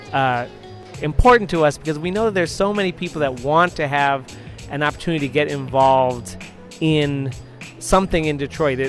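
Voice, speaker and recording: A man with a fairly high voice explains his nonprofit in a short testimonial. He speaks earnestly and often restarts his words. Faint music sits under him. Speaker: nonprofit founder and CEO. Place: event venue.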